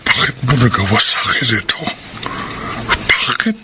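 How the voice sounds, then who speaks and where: An actor playing a wounded, betrayed young fiancé, plaintive, in a Tagalog melodrama. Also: male voice, lowish voice, measured pace, very noisy, close to an everyday voice. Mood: heartbroken, pleading. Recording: loud music under the voice.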